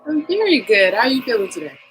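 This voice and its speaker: A bright, clear-toned woman hosts a casual livestreamed podcast. She talks in an upbeat, chatty way and stretches out words for emphasis. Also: on the high side, very animated, quick pace, slight background noise, rough recording. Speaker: podcast host.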